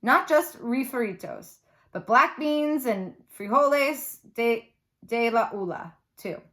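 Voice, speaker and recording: Woman reading as exuberant, big-dreaming dad, lifted pitch. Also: lively intonation, slow pace, a bit bright. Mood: dreamy, proud. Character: big-dreaming, exuberant father of a young girl. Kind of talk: children's audiobook read-aloud.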